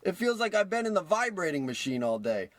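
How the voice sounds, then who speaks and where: A man acts out an old miser trying to be good in a spoof of vintage radio drama. His voice sits high, and he comes across as cranky, self-absorbed and manic. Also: very animated, a little fast, a bit bright, dry recording. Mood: giddy, excited.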